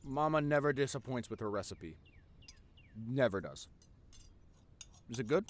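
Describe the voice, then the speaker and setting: Man playing a goofy nerdy boyfriend, animated voice. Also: mid-range voice, a little slow, close to an everyday voice. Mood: warm, gallant. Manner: teasing, stammering when flustered. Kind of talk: intimate boyfriend audio roleplay.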